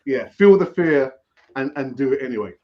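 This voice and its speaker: A man's voice: medium pitch, expressive, normal speed. A man giving advice in a casual panel chat, repeating key words for emphasis. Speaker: panel speaker. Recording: dull, muffled sound.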